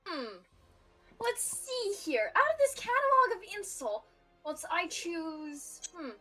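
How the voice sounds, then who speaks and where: A woman with a bright, high voice banters as a tabletop player. Her delivery is animated and slips casually into character, with faint music behind. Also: slow pace. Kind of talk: casual chat with in-character play.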